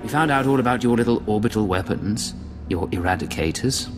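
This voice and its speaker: An actor playing a cool, controlled imperial spy who speaks curtly. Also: male voice, on the low side, lively intonation, brisk, long reverb tail, music in the background, natural voice. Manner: curt, controlled. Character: cool male imperial spy, calm and curt. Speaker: agent.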